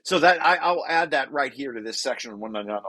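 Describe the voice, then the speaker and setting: A man's voice: medium pitch, lively intonation, quick pace, sharp tone. A man presenting a research paper draft informally to colleagues, repeating and rephrasing key phrases as he explains.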